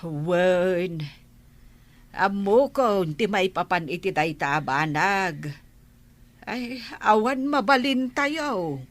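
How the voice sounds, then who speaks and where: A woman's voice: mid-range voice, lively intonation, takes it slowly. A woman playing a worried, nagging village mother in a radio drama, hesitant and pleading. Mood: troubled. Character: worried, nagging village mother fretting over her child. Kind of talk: Ilocano radio drama acting.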